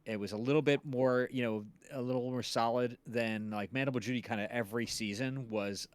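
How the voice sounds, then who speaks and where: A man's voice: medium pitch, fairly even intonation, fairly quick, clear, resonant tone, no room sound. Man explaining his show, dry close sound. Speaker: audio drama creator and stream host. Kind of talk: casual chat about their own show.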